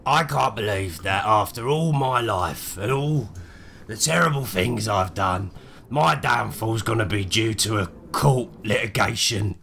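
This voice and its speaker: A man's voice: lowish voice, a little slow, vocal fry, fairly bright tone, slight background noise. A man playing in a tabletop roleplaying game, improvising dialogue in a blunt, exasperated, colloquial way.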